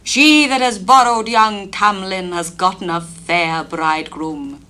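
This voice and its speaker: A woman's voice: medium pitch, measured pace, sharp tone, clean tone. A woman doing an angry wailing fairy queen. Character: angry imperious Queen of the Fairies.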